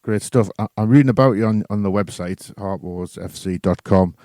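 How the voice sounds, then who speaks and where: A man hosting a football radio show, brisk and self-deprecating as he interviews a guest. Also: fairly low pitch, warm tone, no room sound, clean studio sound.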